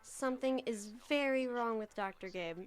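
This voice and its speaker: An actress playing a worried, insistent young investigator in a live radio horror drama, urgent and pleading. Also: female voice, fairly high pitch, moderate pace, smooth, soft background music, close to an everyday voice.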